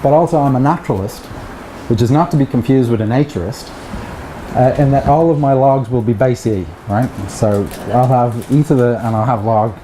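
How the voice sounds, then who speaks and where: A man's voice: mid-range voice, moderate pace, mellow. A middle-aged man with an Irish accent giving a chatty academic seminar talk, with joking asides to the audience. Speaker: lecturer. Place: seminar room with projector. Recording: echoey room with background noise.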